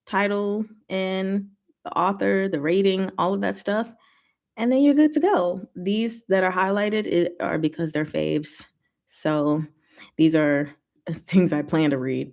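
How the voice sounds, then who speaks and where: A woman's voice: mid-range voice, a little slow, creaky, darkish tone. A woman hosting a livestream, chatting informally and giggly as she reads and answers viewer comments. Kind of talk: casual livestream chat, reading comments aloud.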